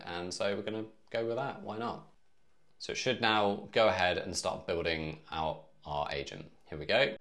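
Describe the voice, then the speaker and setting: A man in his thirties presenting a casual step-by-step software tutorial from a home office. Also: low-pitched. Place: small home office, indoors.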